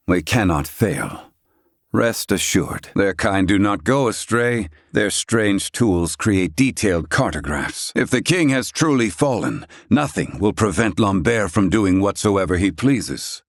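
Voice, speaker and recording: A man's voice: lowish voice, no room sound, studio quality. A man voicing a stern, haughty learned character in a video game, speaking in pompous, clipped, archaic formal diction.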